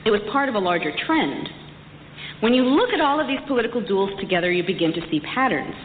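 A woman's voice: mid-range voice, sing-song, fast. A woman giving a prepared history lecture, speaking articulately and emphatically with a lightly wry touch. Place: large reverberant hall. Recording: echoey hall, muffled, some background noise.

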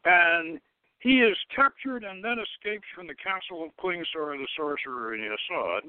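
A man hosting a radio talk show, heard over a thin, phone-like line with very little room sound. His voice sits a little high, and he presents in a deliberate, sometimes hesitant manner. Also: animated, smooth, no room sound. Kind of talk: introducing a talk show, partly reading from notes. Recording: tinny phone-like line, dry.

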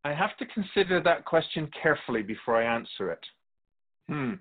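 A man playing a calm, wise, otherworldly keeper of the soul realm in a radio drama, speaking in a measured, gently lecturing way. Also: a little high. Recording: tinny phone-like sound.

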